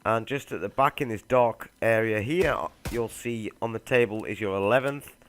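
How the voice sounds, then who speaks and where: A man's voice: lowish voice, moderate pace, clear, resonant tone. Casual man narrating a game guide. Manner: laid-back, addresses viewers directly. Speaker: gaming video host. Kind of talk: casual game walkthrough voice-over.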